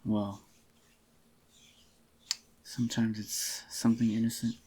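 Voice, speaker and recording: A man doing a soft-spoken, intimate ASMR roleplay as an adoring, possessive boyfriend, in a slightly groggy, unhurried murmur. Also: fairly low pitch, flat delivery, breathy edge. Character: adoring possessive young boyfriend, tender and clingy. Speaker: ASMR voice actor.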